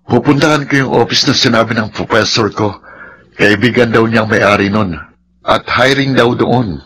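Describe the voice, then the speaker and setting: A man's voice: medium pitch, normal speed, a bit bright, slight background noise, natural voice. A man playing an earnest, devoted young son and boyfriend in a Filipino radio drama, speaking with emotion. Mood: eager. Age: young adult.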